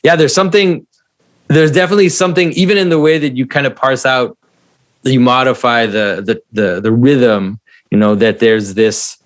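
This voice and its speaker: A man's voice: medium pitch, fairly even intonation, normal speed. A man who is not a native English speaker, hosting a casual conversation and talking reflectively while searching for words. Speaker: host.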